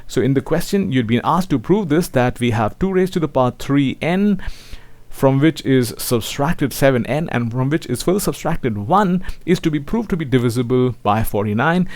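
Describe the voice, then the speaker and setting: A man's voice: mid-range voice, expressive, moderate pace, darkish tone, no room sound. Male maths teacher, dry close sound. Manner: seeks agreement with frequent tag questions; addresses listeners as children. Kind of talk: online lecture to students.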